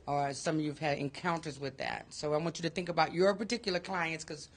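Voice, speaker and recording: A woman who directs a community program, introducing herself at a conference with a loud, projecting voice. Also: low voice, fairly even intonation, quick pace, slight background noise. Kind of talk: conference presentation. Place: conference room.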